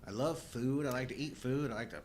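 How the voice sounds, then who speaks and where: A man's voice: mid-range voice, quick pace, darkish tone, slight background noise. A middle-aged man co-hosting a live show with casual, playful banter and wry joking.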